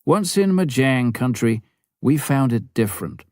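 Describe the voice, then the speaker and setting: A man's voice: mid-range voice, lively intonation, unhurried, darkish tone, no room sound, clean studio sound. A man reading a memoir aloud as scripted audiobook narration.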